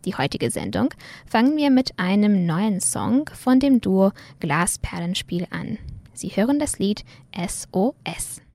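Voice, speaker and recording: A woman presenting a news and culture programme in a measured, formal scripted read. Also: medium pitch, warm tone, no echo.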